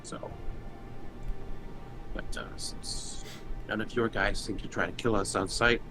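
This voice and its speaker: Low-voiced man playing a tabletop game. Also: fairly even intonation, measured pace, music in the background. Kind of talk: casual roleplay chat.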